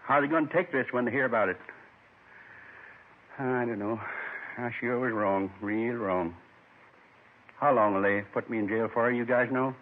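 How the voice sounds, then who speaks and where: Actor as a husky, regretful old man. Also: medium pitch, close to an everyday voice. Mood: worried, regretful. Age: 70s. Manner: halting, plain-spoken, wistful. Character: weary, regretful old man under arrest, meek and resigned. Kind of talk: 1950s radio drama acting. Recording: muffled 1950s radio recording.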